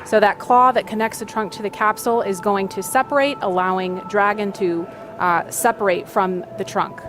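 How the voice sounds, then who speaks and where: A woman's voice: medium pitch, steady tone, normal speed, music in the background. A woman hosting a live launch broadcast, commentating and raising her voice to carry over the room. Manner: raising voice over cheering crowd. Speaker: launch webcast host. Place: indoor room with cheering crowd.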